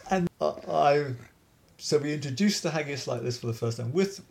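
A man chatting in an interview about organizing a dance event, halting with many restarts and laughs. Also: on the high side, animated, gravelly, darkish tone, a little hiss or hum. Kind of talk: casual interview chat.

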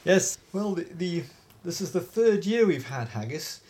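A man chatting in an interview about organizing a dance event, halting with many restarts and laughs. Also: high voice, lively intonation, a little mellow, a little hiss or hum. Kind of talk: casual interview chat.

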